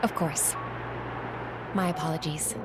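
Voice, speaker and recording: A woman playing a gentle, formally polite female attendant in a video game cutscene. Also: deep voice, fairly even intonation, measured pace, rough recording. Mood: gentle, courteous. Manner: formal, polite, soft-hearted. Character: Fan, gentle, courteous female blade attendant. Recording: music over the voice.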